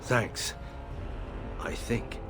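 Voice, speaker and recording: A man playing a guarded, earnest disgraced young nobleman in a video game, serious and dry. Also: drawn-out words. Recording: faint music behind the voice.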